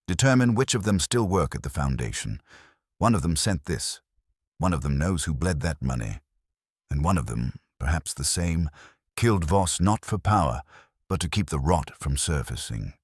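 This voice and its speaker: Guy doing a super deep exacting detective in an audiobook, formal, snooty, measured. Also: big pitch swings, husky, no echo, studio quality, full character voice. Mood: commanding. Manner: formal, pronouncing, measured phrasing. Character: stern, cerebral male detective, disdainful and exacting. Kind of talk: audiobook character dialogue.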